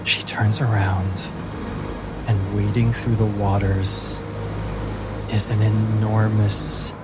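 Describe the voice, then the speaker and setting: A man narrating a tabletop game as game master in a measured, deliberate, dramatic storytelling manner. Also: fairly low pitch, flat delivery, loud music behind the voice.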